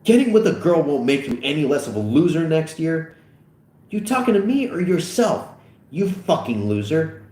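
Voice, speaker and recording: A man's voice: on the high side, sing-song, moderate pace, darkish tone, pitched above the performer's own voice. A man voicing an aggrieved, whiny teenage boy who accuses his best friend, as part of a script reading. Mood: bitter. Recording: echoey room.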